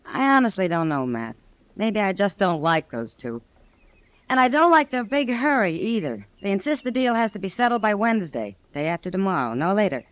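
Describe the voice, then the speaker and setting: Strong-willed saloon owner played by a woman, American, animated mid-pitched voice, frank delivery, dull vintage radio drama sound. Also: brisk, no room sound, close to an everyday voice. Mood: resolute. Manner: frank, firm. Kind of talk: 1950s radio western acting.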